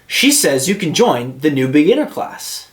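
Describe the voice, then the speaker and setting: A man voicing a patient, encouraging mother in a storybook, gently firm as she explains. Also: fairly high pitch, very animated, normal speed. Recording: roomy, echoing sound.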